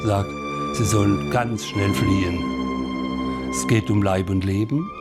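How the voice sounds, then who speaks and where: A man giving spontaneous, reflective film commentary in halting phrases as he muses. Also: on the low side, fairly even intonation. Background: loud music.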